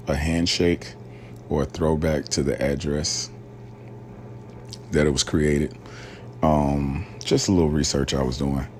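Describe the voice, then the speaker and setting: A man's voice: a bass voice, a little mellow, a little hiss or hum. A man from rural Alabama hosting a casual solo podcast, talking loosely with lots of fillers and slang. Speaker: podcast host.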